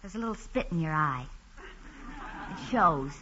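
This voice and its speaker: An actress in a 1940s radio play, playing a brisk, dry, sharp-tongued woman. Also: low-pitched, animated, slightly rough, exaggerated voice. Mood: wry, teasing. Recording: dull old radio recording.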